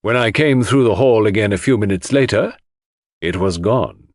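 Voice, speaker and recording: A man voicing a stiff, formal widower under suspicion, explaining himself deliberately and with composure. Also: fairly low pitch, no echo, clean studio sound, lightly acted. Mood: defensive.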